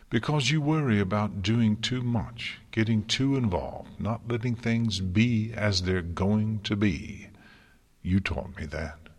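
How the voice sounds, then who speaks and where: A man voicing a distraught young female unicorn student in a story, emphatic, bitter and anguished. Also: on the low side. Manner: emphatic, anguished, sarcastic delivery.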